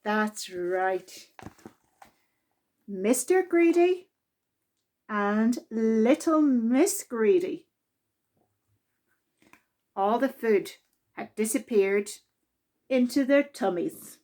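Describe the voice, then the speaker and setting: Female storytime reader, high voice, very unhurried pace, expressive delivery pitched at young children. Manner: deliberate, expressive reading aimed at young children.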